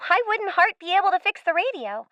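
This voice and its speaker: Radio play young girl: very high-pitched, bright and sharp, earnest, trusting castaway. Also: very animated, fairly quick, no echo, muffled recording, heavily acted.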